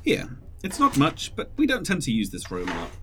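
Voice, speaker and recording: A man playing a polite, fatherly man in an audio drama, speaking in a gentle, courteous, reassuring way. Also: high-pitched, wildly swooping pitch, a little fast, vocal fry, noisy background, full character voice. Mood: kind, modest.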